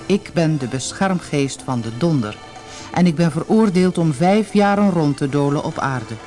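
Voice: mid-range voice, lively intonation, dark tone, soft background music, close to an everyday voice. A narrator voicing a thin male thunder spirit who speaks formally, solemnly and courteously in a fairy tale audiobook. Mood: solemn, grateful. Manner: formal, measured announcements.

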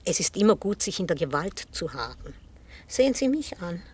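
An actress playing a composed, quietly menacing older housekeeper in a 1960s radio play, speaking with formal, controlled politeness. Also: female voice, on the low side, very animated, normal speed, husky. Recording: hissy 1960 radio play recording.

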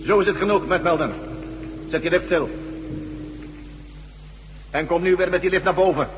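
A man's voice: high voice, normal speed, natural voice. An actor playing a smug, condescending henchman from a superior race, mocking in manner, in a Dutch radio drama. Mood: smug, commanding. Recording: noisy old radio recording.

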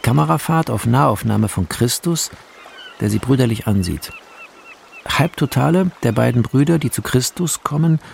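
A man's voice: mid-range voice, vocal fry, background noise. A man reading screenplay directions as scripted narration in a radio drama.

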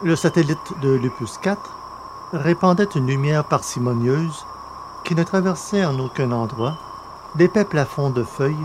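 A man reading as a podcast host and narrator, with measured, articulate, scripted delivery. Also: fairly high pitch, lively intonation, noisy background. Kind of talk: scripted podcast presentation and audio novel narration.

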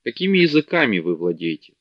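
A man's voice: lowish voice, big pitch swings, fast talker, no room sound. A man voicing a sleepy, greedy, fat male merchant who talks down to people, on a dull, dry audiobook recording. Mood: calculating. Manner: haughty, contemptuous.

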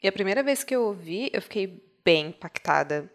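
A woman's voice: mid-range voice, lively intonation, brisk, soft background music. A woman with a Brazilian accent giving casual, conversational commentary as on a podcast.

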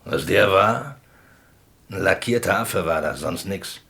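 An actor playing a resentful, rough train robber in prison in a German radio crime drama, speaking in a gruff, slangy, grudging manner. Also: male voice, deep voice, unhurried, pushed and bright, heavily acted. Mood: bitter, resentful.

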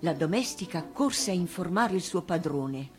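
A woman's voice: fairly low pitch, lively intonation, normal speed. A woman reading a children's tale expressively as an actress, with music behind her. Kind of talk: expressive storybook narration. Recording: radio reading over music.

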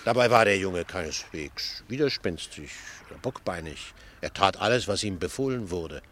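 An actor playing a wry, gossipy ship passenger in a radio play, telling tales in with a sardonic manner. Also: male voice, sits low, normal speed, a little hiss or hum. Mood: amused, mocking. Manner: sardonic, chuckling, animated storytelling. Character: wry, worldly, gossipy male ship passenger, mocking raconteur. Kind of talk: German radio play dialogue.

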